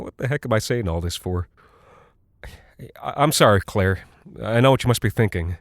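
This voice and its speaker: A male actor voices a worn-out, rattled Cold War airman in an audio horror monologue. His voice sits on the low side, recorded close and dry. He talks conversationally and haltingly, rambling and apologizing as if confessing to his wife. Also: no echo, close to an everyday voice. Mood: apologetic, uneasy.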